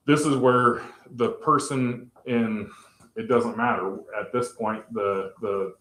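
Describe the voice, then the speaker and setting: A man explaining tech options to a city council, halting and full of fillers and restarts. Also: mid-range voice, steady tone. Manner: says he has a loud voice. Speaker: tech presenter at council meeting. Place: council meeting room. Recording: echoey room, far from mic.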